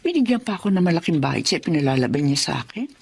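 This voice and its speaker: A woman playing a kindly, easily alarmed middle-aged mother in a Filipino radio drama, expressive and given to wondering aloud. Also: low-pitched, rapid-fire, gravelly, slightly rough, full character voice. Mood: puzzled, suspicious. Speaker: drama actor.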